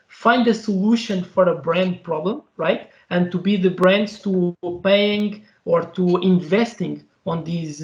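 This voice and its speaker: A man's voice: high-pitched, creaky, darkish tone, roomy sound, lo-fi sound. A man on a panel, speaking with frequent hesitations and tagging his points with "right?". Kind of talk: online panel discussion.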